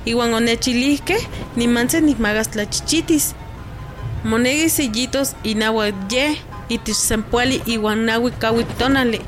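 Actress as new village mother, fairly high voice, explanatory, music behind, radio soap. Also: close to an everyday voice. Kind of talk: radio drama acting in Nahuatl.

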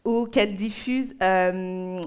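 A young woman from Nigeria, preaching and giving a personal testimony in short phrases with pauses for an interpreter. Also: medium pitch, darkish tone, clear, resonant tone. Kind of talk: sermon in French.

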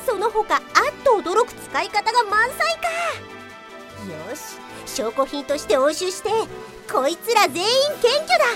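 A woman's voice: very high-pitched, sing-song, unhurried, over music, heavily acted. A woman playing a stern, zealous police detective in an audio drama, declaiming dramatically with bursts of outrage. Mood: excited promotion. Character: zealous, stern female police detective enforcing office rules. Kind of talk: Japanese audio drama acting.

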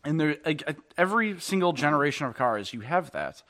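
A man's voice: a little high, sing-song, fairly bright tone. Laid-back man chatting about cars. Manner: rambling, laughs often, quick to tease. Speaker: podcast co-host. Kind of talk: casual car chat.

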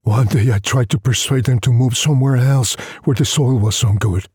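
A man narrating a literary story in the first person, with a husky, breathy voice and a brooding, deliberate delivery. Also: medium pitch, a little mellow, dry recording, clean studio sound.

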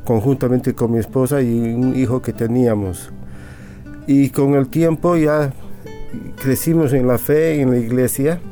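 Mellow middle-aged Bolivian man. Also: medium pitch, steady tone, soft background music. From Sucre, Bolivia. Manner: halting, adds tag questions. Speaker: interview guest. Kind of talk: personal testimony, conversational.

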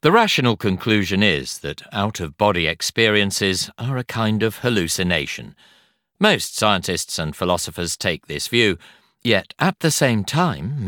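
A man reading a non-fiction audiobook in a measured, articulate and expressive way. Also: on the low side, dry recording, clean studio sound. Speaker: audiobook narrator. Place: studio.

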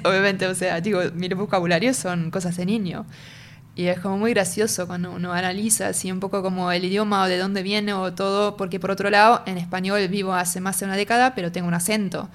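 A woman's voice: medium pitch, fairly even intonation, a little fast, a little hiss or hum. Woman explaining her work. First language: German. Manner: explanatory, searches for words as she goes. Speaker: marketing and sales lead, interview guest. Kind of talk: casual interview conversation.

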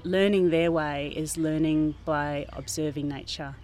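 A woman's voice: low voice, fairly even intonation, measured pace, darkish tone, a little hiss or hum. A woman who works as a garden teacher, explaining thoughtfully and earnestly in an informal interview outdoors. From New Zealand. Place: outdoors, under a gazebo in a school garden.

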